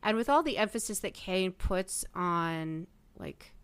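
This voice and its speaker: Woman podcast panelist, clear and smooth voice. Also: on the low side, lively intonation, measured pace, a bit bright, no room sound. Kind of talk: casual fan discussion.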